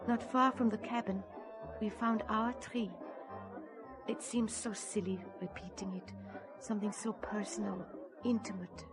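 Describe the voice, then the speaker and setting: A woman's voice: mid-range voice, steady tone, unhurried, lightly acted. An actress playing a guarded, lonely, lovelorn woman in an audio drama, speaking formally and earnestly with careful phrasing. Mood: wistful, confiding. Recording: music playing behind the voice. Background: music.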